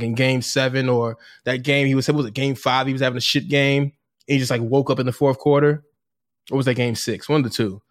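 A man co-hosting a casual sports podcast in a laid-back, halting way, peppering his talk with conversational tags. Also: medium pitch. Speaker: sports and culture podcast co-host.

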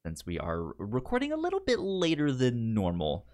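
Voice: mid-range voice, huge pitch swings, normal speed, a little mellow. A podcast co-host chatting casually about films, often stammering and repeating words and syllables. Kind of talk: casual film podcast chat.

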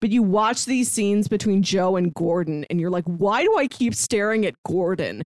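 A woman's voice: mid-range voice, animated, normal speed, a little mellow, no echo. A woman co-hosting a podcast, talking in a chatty, enthusiastic way in a casual review conversation. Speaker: podcast co-host.

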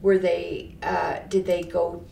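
A woman hosting a casual interview, chatty and halting, often restarting her questions. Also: on the low side, flat delivery, gravelly, warm tone, recorded from a distance. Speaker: podcast host. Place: studio. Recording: roomy, off-mic, faint noise.

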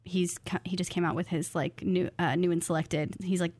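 A woman's voice: on the low side, fairly even intonation, normal speed, a little mellow, slightly rough, no room sound. A woman in her thirties talking casually and reflectively as an interview guest, earnest, enthusiastic and informal. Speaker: podcast guest, creative nonfiction graduate student and writer.

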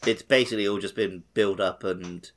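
A man's voice: on the low side, steady tone, normal speed. A man co-hosting a gaming podcast in a casual, jokey, conversational style. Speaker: podcast co-host.

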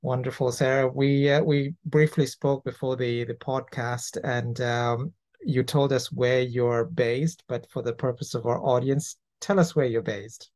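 A man's voice: mid-range voice, fairly even intonation, unhurried, a little mellow. A man hosting an interview podcast, presenting introductions and questions to a guest.

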